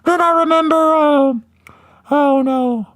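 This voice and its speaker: High-pitched woman straining a silly voice. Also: steady tone, takes it slowly. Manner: putting on a strained silly costume voice, shouty and laughing. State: losing her voice. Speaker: podcast co-host. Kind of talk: casual livestream chat.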